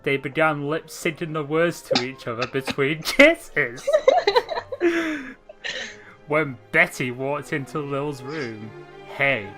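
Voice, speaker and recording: Young guy reading fanfic real slow, laughs a bunch, music going clearly behind. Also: mid-range voice. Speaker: channel host, reader. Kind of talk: amateur fan fiction reading. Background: music playing clearly.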